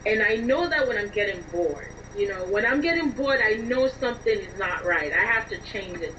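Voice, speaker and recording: A woman being interviewed as a writer and musician, answering conversationally in an expressive, emphatic way. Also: fairly high pitch. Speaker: interviewed playwright and songwriter. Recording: dull, distant mic with faint noise.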